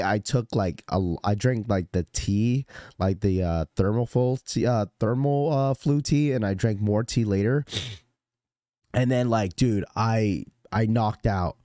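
A young man with a hoarse, slightly cracky, congested voice, casually hosting a live stream and greeting viewers by name with laid-back slang. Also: on the low side, dry recording, clean studio sound. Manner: laid-back slangy shout-outs with fillers. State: hoarse, recovering from illness. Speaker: livestream host.

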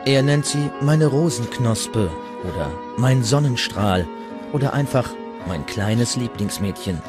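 A man's voice: mid-range voice, expressive, unhurried. A man narrating a historical documentary from a script. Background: music.

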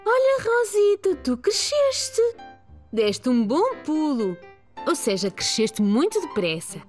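Fairly high, kind cartoon mum. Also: female voice, very animated, slow, faint music behind, full character voice. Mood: encouraging. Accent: European Portuguese. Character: kind, patient young mother of small children. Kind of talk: children's cartoon dubbing.

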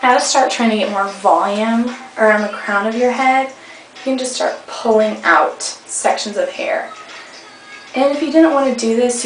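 A young woman with a slightly hoarse voice, chatting casually through a hair tutorial with rambling fillers, recorded on a webcam at home. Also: a little high. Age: young adult. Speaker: beauty vlogger. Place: indoor room at home. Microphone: webcam at arm's length. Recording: echoey room, faint music behind.

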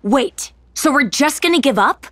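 An actress playing a cool, blunt, tough young woman hero in an animated game, terse and deadpan. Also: high voice, moderate pace, no room sound, studio quality. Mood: skeptical. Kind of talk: animated video game acting.

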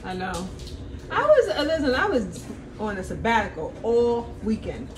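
A woman in her thirties chats casually with her viewers while doing chores, laughing easily and calling them y'all. Her voice sits a little high. The camera catches her from a few feet away in a roomy, echoing kitchen with household noise behind. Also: wildly swooping pitch, moderate pace. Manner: chatty, laughs often, talks to viewers as y'all. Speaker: family vlogger, mother. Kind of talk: casual family vlog chat. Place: large home kitchen and laundry area. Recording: echoey room, mic at a distance, household noise.

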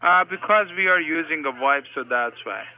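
A male telemarketer comes through a thin, narrow phone line. His voice sits a little high, and he works through scripted sales questions politely and formulaically, often saying sir. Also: expressive, normal speed. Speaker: telemarketing caller posing as senior supervisor. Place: heard through a desk phone speaker. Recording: tinny speakerphone call audio.

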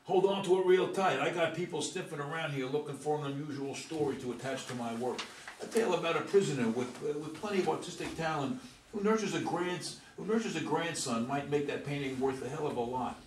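A man playing a folksy, excitable young painter in a staged script reading, with a hesitant, stammering delivery. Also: a little high, fairly quick, lightly acted. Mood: excited. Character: excitable, folksy young male painter, fond of his grandfather. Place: meeting room, seated at a long table. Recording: roomy, distant camera mic, faint noise.

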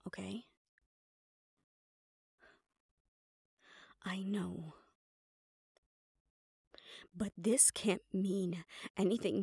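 A woman's voice: mid-range voice, darkish tone, dry recording, clean studio sound. A woman playing a composed, protective assistant to a mafia boss in an intimate audio roleplay, speaking firmly and reassuringly straight to the listener. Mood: pleading, resolute. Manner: firm, reassuring, addressing the listener directly.

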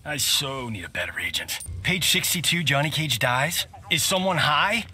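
An actor playing a cocky, wisecracking Hollywood action star in a video game, flippant and smug. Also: male voice, on the high side, expressive, normal speed, noisy background, lightly acted. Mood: smug mockery.

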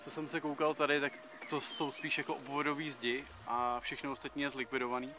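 A man's voice: medium pitch, little pitch movement, noisy background. A young man in his twenties giving loose, slangy commentary while walking through an abandoned hotel, filmed close on a handheld camera. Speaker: urbex vlogger. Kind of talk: casual walk-through commentary. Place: abandoned hotel, indoors and on rooftops. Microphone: handheld camera close to face.

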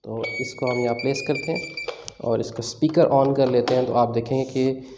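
A man's voice: mid-range voice, fairly even intonation, moderate pace, background noise. A man with a Pakistani Urdu accent hosting a hands-on tech tutorial, chatting casually with fillers as he works with his hands at a table. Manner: casual explanatory, many fillers, describes while working. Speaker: tech channel host.

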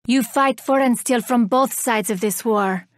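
A vengeful female reaper from a video game, played by a woman, speaking in a fairly high voice with cold, theatrical menace. She is haughty and scornful as she heralds destruction. The recording is close and very dry. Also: a little slow, no room sound, natural voice. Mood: haughty contempt. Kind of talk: video game character voice lines.

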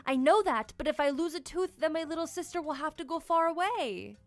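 A woman voicing an earnest, imaginative, excitable little girl in a children's storybook. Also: sits high, fairly quick, no room sound, faint music behind, pitched above the performer's own voice. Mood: worried. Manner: earnest, wide-eyed, childlike.

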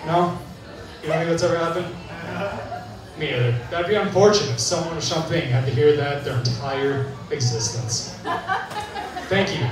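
A young man doing stand-up with a dry, deadpan delivery, his voice sitting a touch high for a man. He is heard from the back of a bar, where the sound rings around the room and an audience laughs and murmurs throughout. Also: moderate pace, long pauses. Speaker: stand-up comedian. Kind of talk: stand-up set. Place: bar stage with a live audience. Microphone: handheld mic, filmed from far in the crowd. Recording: echoey bar sound from far off, crowd laughter.

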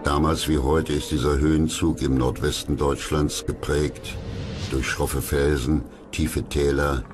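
A man reading scripted history documentary narration in a measured, grave manner. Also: a bass voice, little pitch movement. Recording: loud music under narration.

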